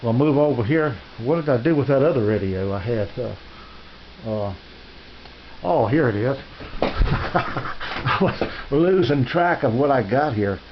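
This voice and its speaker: Middle-aged man, slightly low voice, hesitant hobbyist demo, throat clearing, dull noisy home recording. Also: expressive, a little slow, long pauses. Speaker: amateur radio hobbyist reviewer. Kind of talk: casual unscripted product demonstration. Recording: dull lo-fi sound with hiss.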